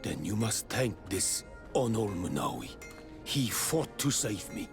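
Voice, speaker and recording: An actor playing a solemn, grateful island hunter in a fantasy game, speaking ceremoniously. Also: male voice, lowish voice, sing-song, a little slow, gravelly, full character voice. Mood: solemn gratitude. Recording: reverberant space, music underneath.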